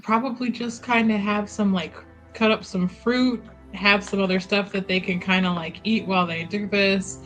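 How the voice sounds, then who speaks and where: A woman's voice: medium pitch, steady tone, normal speed, a bit bright, soft background music, low-quality recording. A woman playing a tabletop game, chatty and laughing often. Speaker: player. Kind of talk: casual tabletop play.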